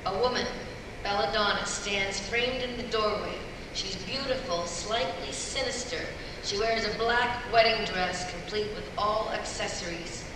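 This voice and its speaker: Casting: female narrator, mid pitch, reading script directions; distant, noisy hall recording. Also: measured pace. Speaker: stage-direction reader. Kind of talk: scripted narration at a live table read.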